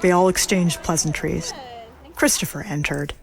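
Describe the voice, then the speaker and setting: A woman narrating a scripted audio drama in a measured, matter-of-fact way. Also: lowish voice, steady tone, gravelly, crowd noise.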